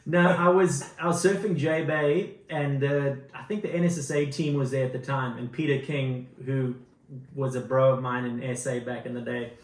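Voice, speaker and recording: A man's voice: medium pitch, steady tone, normal speed, roomy sound, poor audio. A man from South Africa with a South African accent, a guest telling surf stories in a laid-back, self-deprecating way. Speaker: podcast guest, surfboard shaper. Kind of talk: casual chat.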